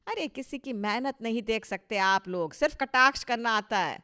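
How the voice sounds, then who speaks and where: A woman's voice: on the high side, expressive, normal speed, sharp tone, clear, resonant tone. Woman acting a proud, bossy older mother-in-law. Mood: offended. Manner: boastful, scolding, emphatic. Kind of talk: Hindi cartoon story dialogue.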